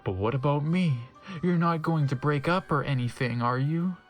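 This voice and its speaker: A man's voice: fairly high pitch, moderate pace, soft background music, lightly acted. A man voicing an emotional, needy gothic teenage boy lover in a pleading, overwrought manner. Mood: tearful, needy.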